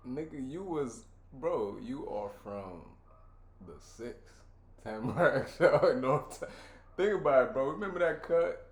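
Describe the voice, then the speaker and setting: A man's voice: mid-range voice, sing-song, measured pace, darkish tone. A young man with an American accent, chatting casually and candidly as a podcast co-host, slangy and streetwise. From Africa, came from a war-torn country as a child. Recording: echoey room with faint noise.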